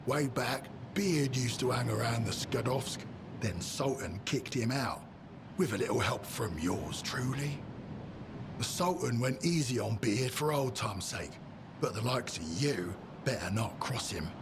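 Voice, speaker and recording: An actor playing a menacing henchman in a video game, gravelly and matter-of-fact in his threats. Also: medium pitch, animated, measured pace, background noise. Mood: quietly threatening. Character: menacing male henchman guarding his boss. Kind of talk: video game character dialogue.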